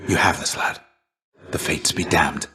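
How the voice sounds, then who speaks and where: A deep, fatherly, weary warrior mentor. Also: fairly even intonation. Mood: steady, encouraging. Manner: measured, formal, gentle archaic phrasing. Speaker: game character voice actor. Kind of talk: scripted video game dialogue.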